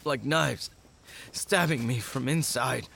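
A slow, gravelly wounded cyborg soldier. He sounds bitter, strained and worn out in a cinematic audio drama, with noise behind him. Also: mid-range voice, fairly bright tone. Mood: pained, bitter. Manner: strained, halting, fatalistic.

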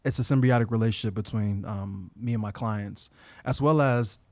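A man's voice: fairly low pitch, normal speed, a little mellow, no echo. A man speaking as a podcast guest, earnest and reflective, with frequent fillers. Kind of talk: casual conversation.

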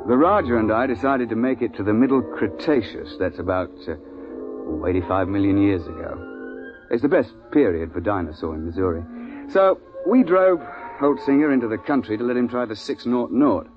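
A man's voice: mid-range voice, animated, moderate pace, close to an everyday voice. An actor playing a seasoned, firm safari guide telling his tale in a 1950s radio drama, understated and matter-of-fact. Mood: wry recounting. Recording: muffled 1950s radio recording, music.

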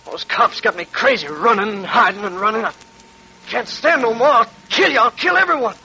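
An actor playing a vicious, desperate escaped convict with barking gangster menace in a 1940s radio crime drama. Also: male voice, very high-pitched, expressive, a little fast, pushed and bright, soft background music, exaggerated voice. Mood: threatening, frantic. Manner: barking, menacing tough-guy gangster talk. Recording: noisy, crackly 1940s radio recording.

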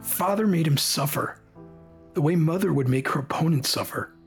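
An actor as a higher-voiced, earnest son remembering. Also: male voice, normal speed, vocal fry, faint music behind. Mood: reflective. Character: grieving adult son recounting a formative childhood memory. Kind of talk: audio drama acting.

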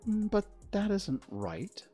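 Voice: mid-range voice, big pitch swings, drawn-out words, warm tone, faint music behind, voice pushed up, exaggerated voice. A reader voicing a male scarecrow stuffed with straw in a storybook reading, questioning earnestly.

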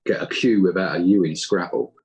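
A man's voice: deep voice, steady tone, brisk, a crowd in the background. A British man chatting casually as a guest in an interview. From United Kingdom. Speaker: interview guest, audio drama writer.

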